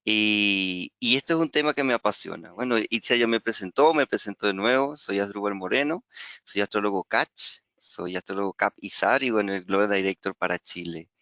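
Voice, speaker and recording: A man's voice: lowish voice, moderate pace, bright, forceful tone, no room sound. A middle-aged man from Venezuela with a Venezuelan Spanish accent, teaching astrology in an online webinar, talking hesitantly with many fillers and repeated words. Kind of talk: webinar lecture.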